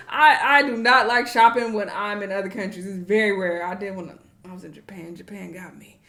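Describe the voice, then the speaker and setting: A woman's voice: medium pitch, steady tone, fairly quick, sharp tone. Chatty tired American woman, roomy sound. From United States. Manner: chatty, laughs easily, trails off when tired. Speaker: reaction video host. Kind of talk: casual reaction commentary. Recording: roomy echo.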